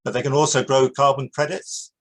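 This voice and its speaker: A man who directs a project, giving a prepared talk over a video call, measured with some hesitation. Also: medium pitch, flat delivery.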